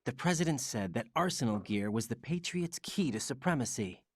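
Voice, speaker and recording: A man's voice: medium pitch, expressive, brisk, slightly rough. A man playing an earnest, questioning young special forces agent in a scripted video game cutscene. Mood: puzzled, earnest.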